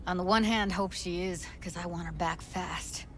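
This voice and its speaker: An actress playing a blunt, protective fixer in a video game, speaking with a scornful edge. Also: female voice, lowish voice, fairly even intonation, normal speed, soft background music, natural voice. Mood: worried. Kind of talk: video game cutscene acting.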